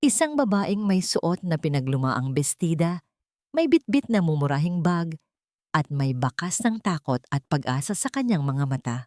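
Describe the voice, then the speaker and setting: A woman reads a scripted dramatic story in the first person. Her voice sits fairly low and is recorded very dry. She is solemn, setting out short phrases with deliberation. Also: very animated, normal speed, a little mellow, no room sound. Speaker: narrator.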